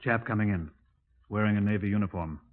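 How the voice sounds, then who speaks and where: An actor playing a brisk Scotland Yard sergeant in a 1950s radio drama, formal, matter-of-fact and deadpan. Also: male voice, lowish voice, phone-quality sound, noisy background, lightly acted. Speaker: detective and narrator. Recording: thin, band-limited 1950s radio recording.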